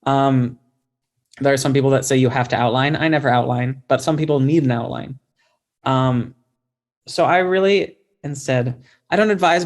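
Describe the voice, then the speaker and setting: A man's voice: medium pitch, creaky. Informal, thoughtful man in conversation. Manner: informal, self-deprecating, thoughtful. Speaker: guest author. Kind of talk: casual interview conversation.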